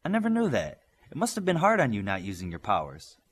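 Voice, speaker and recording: Man playing an unsure, lively-pitched young superhero boy. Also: fast, husky, full character voice. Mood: unsure, curious.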